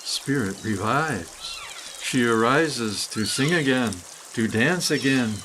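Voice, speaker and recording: A man of medium pitch delivers a scripted guided meditation like a spoken prose poem. His phrasing is measured and deliberate, with room left between phrases. Flowing water is clearly heard behind the voice. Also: wildly swooping pitch, pushed and bright, a little hiss or hum. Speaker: guided meditation reader, author.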